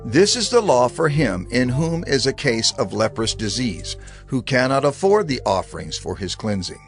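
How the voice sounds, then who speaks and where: A male narrator reads scripture aloud in a steady, reverent tone. His mid-range voice moves through a lively, expressive melody. Soft music hums faintly in the background. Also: medium pitch, normal speed.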